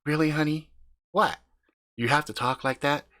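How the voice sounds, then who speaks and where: A man's voice: on the high side, steady tone, moderate pace, bright, forceful tone, voice pushed up. A man voicing a prim, disapproving wife who investigates the paranormal with her husband.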